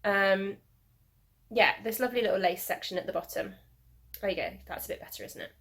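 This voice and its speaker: A woman's voice: medium pitch, a little fast, creaky, fairly bright tone. A woman, hosting a knitting video podcast from home in a rambling, chatty way with many ums, a little sniffly from hay fever. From England. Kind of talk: casual video podcast chat.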